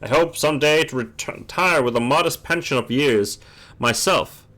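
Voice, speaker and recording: A man reading as a fastidious female clock inspector. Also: medium pitch, pushed and bright, natural voice. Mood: earnest, precise. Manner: formal wording, halting read-aloud delivery. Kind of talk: reading game dialogue aloud.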